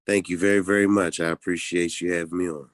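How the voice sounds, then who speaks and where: A man with a very low voice speaks in a relaxed, easygoing way, full of ums and small restarts as he tells his story. He is in his thirties and from Pittsburgh, recorded dry and close. It sounds like a casual podcast interview. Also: fast, dry recording. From Pittsburgh, United States. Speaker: podcast guest, bodybuilder.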